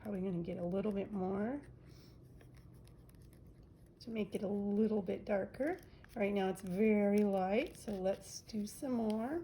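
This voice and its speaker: A woman with a nasal voice talks slowly and casually as she walks through a craft technique step by step. Her pitch sits mid-range. A faint hiss runs under the recording. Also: dark tone. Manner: chatty, narrates each step while working. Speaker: craft demonstrator.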